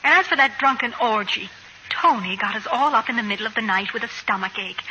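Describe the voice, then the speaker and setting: Bright, spirited housewife. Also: female voice, fairly high pitch, fairly quick, lo-fi sound. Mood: indignant. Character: spirited, loyal suburban housewife. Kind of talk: 1940s radio comedy acting. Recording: slightly noisy old radio broadcast.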